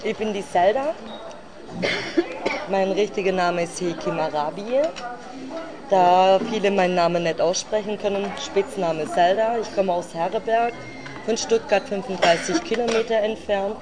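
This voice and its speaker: A woman's voice: mid-range voice, normal speed. Chatty woman in a crowd. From Germany. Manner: thinks aloud, chatty, restarts mid-sentence. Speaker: interview guest. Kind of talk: casual chat. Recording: voice among a crowd.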